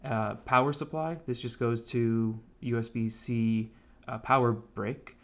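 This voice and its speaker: American guy casually showing off a tech project, laid back, normal mid pitch. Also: steady tone, measured pace. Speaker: hobbyist presenter of an electronics project. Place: indoors at a home computer desk.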